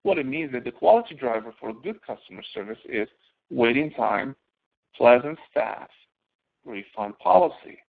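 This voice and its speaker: A man giving an instructional walkthrough as a course instructor, explaining step by step and posing questions that he then answers, in a gravelly voice. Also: mid-range voice, sing-song, normal speed. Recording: narrow, phone-like audio.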